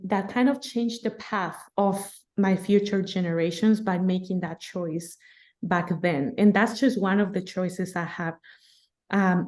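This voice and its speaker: 30s woman from guatemala, telling her story on a podcast, laughs a lot. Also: mid-range voice. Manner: lively, laughing, animated storytelling. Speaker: podcast guest. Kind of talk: casual storytelling interview.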